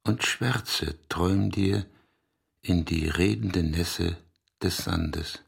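An actor in a literary radio drama playing a restless, gruff, evasive East German poet with terse phrasing. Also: male voice, sits low, very slow, heavily acted. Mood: brooding, tender.

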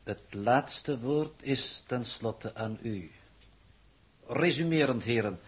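A man acting a coldly precise, formal mission coordinator in 1960s radio drama, measured and pedantic in manner. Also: mid-range voice, husky. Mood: dry, formal.

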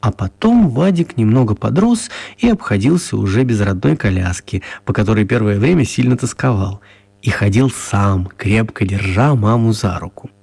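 A man narrating a children's radio story in a measured, expressive way with gentle humour. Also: fairly low pitch, creaky, slightly rough.

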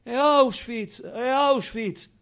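Man playing a high-voiced weary foster mother. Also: extremely slow, fairly bright tone, heavily acted. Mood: anguished. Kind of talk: theatrical monologue.

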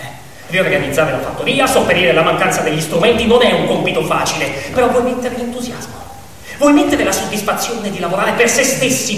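Expressive stage narrator in a very echoey hall. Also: mid-range voice. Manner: expressive, conversational asides to the audience. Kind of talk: theatrical storytelling of an animal fable. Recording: echoey hall, distant mic, faint noise.

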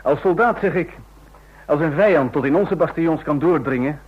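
An actor playing a blunt army general in a radio drama, with a husky voice and clipped military phrasing. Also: male voice, a little high, normal speed. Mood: blunt, firm. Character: male army general, blunt, gruff career soldier. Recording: dull lo-fi old radio recording.

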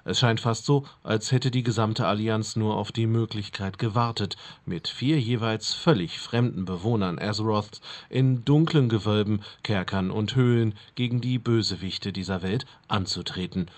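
A man acts as an earnest news announcer in a parody radio play. His voice is on the low side, and he reads the fantasy bulletin formally, like official news. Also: normal speed, few pauses, close to an everyday voice.

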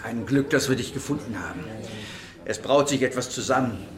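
An actor in a radio drama playing a grave leader of persecuted rebels, speaking in an earnest, declamatory way. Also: male voice, mid-range voice, moderate pace, a crowd in the background, lightly acted. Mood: alarmed, urgent.

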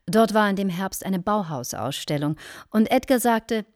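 An actress playing a brittle, sarcastic wife and insistent hostess in a German radio play, with pointed, theatrical politeness. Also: female voice, medium pitch, expressive, moderate pace, dry recording, studio quality, lightly acted. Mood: storytelling.

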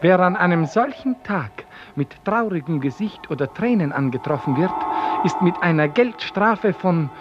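An actor playing a tyrannical, capricious director-general in a pompous, theatrical 1950s German radio drama manner. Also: male voice, high-pitched, expressive, normal speed, over music. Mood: pompous, self-satisfied. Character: tyrannical, capricious, self-important male director-general ruler. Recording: noisy, muffled vintage radio recording.

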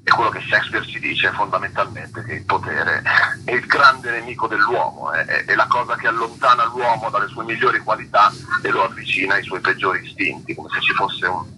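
A man being interviewed about his theatre work, speaking in an articulate, reflective way and searching for words with pauses and repetitions. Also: on the low side, sharp tone, slight background noise, rough recording.

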